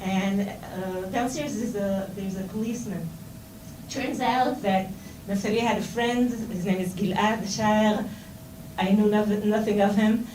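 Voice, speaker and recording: A woman's voice: mid-range voice, steady tone, a little slow, a little mellow. A middle-aged Israeli woman giving an informal talk to seminar students, with a Hebrew accent in her English and many fillers and restarts. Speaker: speaker at a seminar. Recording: roomy and distant, with noise.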